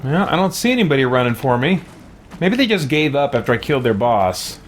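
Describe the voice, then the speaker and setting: A man hosting a gaming let's play with casual live commentary and animated, theatrical reactions. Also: medium pitch, a little fast, background noise.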